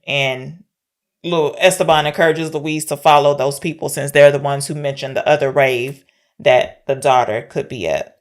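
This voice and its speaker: A woman podcast co-host chatting casually and candidly as she recaps a film, often posing questions. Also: low-pitched. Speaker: podcast co-host discussing films.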